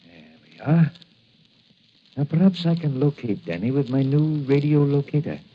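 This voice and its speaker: An actor playing a kindly, worried apothecary and inventor who mutters to himself, in 1940s radio style on a dull, hissy old recording. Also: male voice, fairly high pitch, slightly rough. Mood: musing, concerned. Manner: musing, deliberate, muttering.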